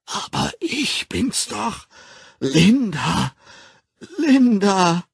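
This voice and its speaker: A man's voice: on the low side, big pitch swings, a little slow, vocal fry, a bit bright, breathy edge, no room sound, studio quality, heavily acted. A man reading an audiobook voices an aging, desperate woman who pleads with a former lover in a trembling, half-whispered voice. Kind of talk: audiobook character voice.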